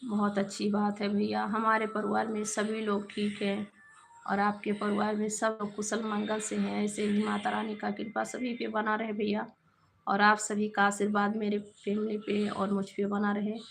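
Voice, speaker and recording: A woman hosts a casual live stream, her voice hoarse and gravelly, her pitch held almost level. She greets one viewer after another by name in an easy, chatty way. Faint background noise sits under the voice. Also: monotone, moderate pace. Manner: reads out viewer names and greets them warmly. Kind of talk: casual live chat reading comments.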